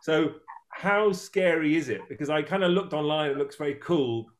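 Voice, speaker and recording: A man's voice: fairly high pitch, animated, normal speed. A man in his fifties hosting an interview, talking in a rambling, self-deprecating way with many restarts. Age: 50s. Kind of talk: casual interview chat.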